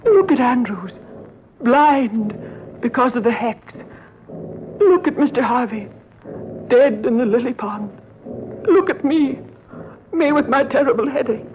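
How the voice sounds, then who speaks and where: Frightened servant, muffled old radio. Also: female voice, fairly high pitch, expressive, unhurried, long pauses. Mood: agitated. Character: frightened female servant, superstitious and deferential. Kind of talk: old radio horror drama acting. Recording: muffled, noisy vintage radio recording.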